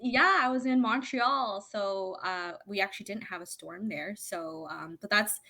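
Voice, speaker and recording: Woman host on a video call, enthusiastic, chatty, lots of "you know"s, mid pitch. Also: unhurried, sharp tone. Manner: enthusiastic, chatty, many fillers. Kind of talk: live-streamed interview.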